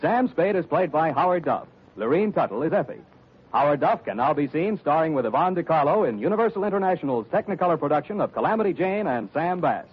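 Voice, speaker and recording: A man's voice: on the high side, big pitch swings, quick pace, breathy edge. Emphatic vintage radio announcer, man. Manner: polished, emphatic salesman delivery. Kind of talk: scripted commercial and credits announcing. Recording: muffled vintage radio broadcast with faint music.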